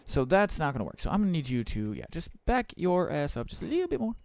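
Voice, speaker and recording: A man live-streaming a game, chatting casually with viewers in a rambling, profane, joking way, his voice slightly raspy. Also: on the high side, wildly swooping pitch, quick pace, darkish tone, dry recording.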